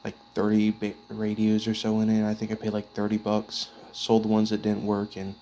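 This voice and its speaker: A man giving a casual, unscripted show-and-tell of his gear as a hobbyist, speaking in a halting, piecemeal way as he handles items. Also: on the low side, monotone, warm tone. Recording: clearly noisy background with clicks.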